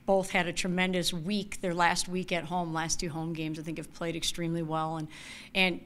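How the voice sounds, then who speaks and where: A woman in her forties, a head coach, answering questions at a postgame press conference in a measured, analytical way into a table mic. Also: on the low side, little pitch movement, fairly bright tone, a little hiss or hum. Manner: measured, analytical, repeats key words. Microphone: table mic in front.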